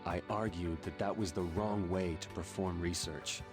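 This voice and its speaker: An actor playing a gruff, weary, middle-aged surgeon with a dry, grumbling manner in an animated game drama. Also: male voice, sits low, normal speed, music in the background, close to an everyday voice. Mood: somber, confessing. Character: gruff, weary, guilt-ridden cynical male surgeon.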